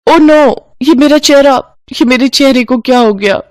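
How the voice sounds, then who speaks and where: A woman voicing a naive, excitable young sister-in-law who is eager to please, in a dramatic, earnest way. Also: high-pitched, normal speed, background noise, voice pushed up, lightly acted. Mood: panicked.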